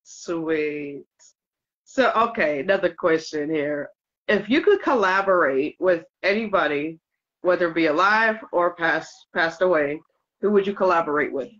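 A woman hosting a talk show interview, chatty and quick with affirmations, over a video call. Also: fairly low pitch, animated, fairly bright tone, dull audio. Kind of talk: casual interview chat. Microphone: webcam on video call.

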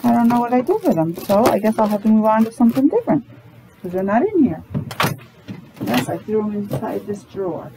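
A middle-aged woman teaching young children in simple, deliberate phrases with chatty asides, speaking through a face mask. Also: mid-range voice, animated, background noise. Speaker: preschool teacher. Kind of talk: children's classroom lesson. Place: small indoor classroom.

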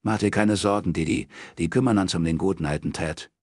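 A man's voice: sits low, fairly quick, dry recording, studio quality. A man voicing a friendly, plain-spoken male buddy in an audiobook, casual and supportive. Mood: reassuring. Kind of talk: audiobook character dialogue in German.

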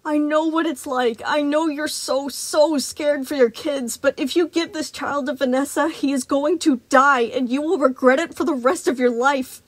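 A woman voicing a big male animatronic bear who is protective and desperate, pleading and yelling to be heard. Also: sits high, fairly even intonation, moderate pace, few pauses, dry recording, pitched above the performer's own voice, natural voice.

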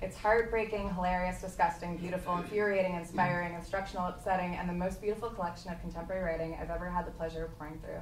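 A woman's voice: lowish voice, steady tone, rapid-fire, hardly any pauses. A young woman reading a prepared personal speech aloud to a live audience. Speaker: book editor introducing the collection. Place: indoor room at a live party. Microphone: microphone on a lectern stand. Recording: echoey room, distant mic, audience noise. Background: audience noise.

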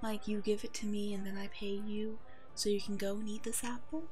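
A woman playing a kind, protective werewolf in an ASMR roleplay drama, speaking gently and reassuringly as if to a child. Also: medium pitch, flat delivery, fairly quick, soft background music, close to an everyday voice. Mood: concerned, kind. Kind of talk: ASMR roleplay audio drama, one-sided dialogue.

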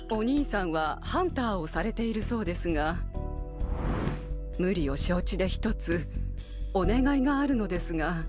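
A woman playing a polite, earnest school teacher in an anime game, speaking gently and formally. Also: medium pitch. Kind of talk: anime game cutscene voice acting. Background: music.

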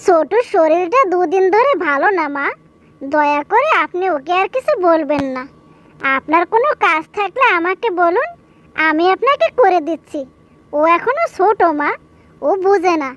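Woman acting a high-voiced, dutiful elder daughter-in-law. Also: very animated, moderate pace, a bit bright, dry recording, exaggerated voice. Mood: placating. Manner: placating, polite. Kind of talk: cartoon dub acting.

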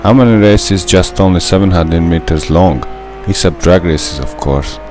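A man's voice: sits low, moderate pace, over music. A young man with a Hungarian accent, a native Hungarian speaker, narrating a gameplay tutorial in a careful, methodical way. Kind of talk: scripted gameplay voice-over.

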